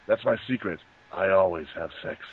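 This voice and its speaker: A man's voice: low-pitched, normal speed, heavy background noise. A man co-hosting a casual, joking film commentary with friends over tinny voice-call audio. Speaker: co-host.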